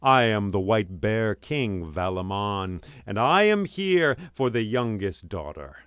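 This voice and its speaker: A man's voice: lowish voice, big pitch swings, slow pace, a bit bright, clear, resonant tone, dry recording, clean studio sound, full character voice. Man telling a tale as a commanding, courteous bear king. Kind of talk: storybook oral storytelling.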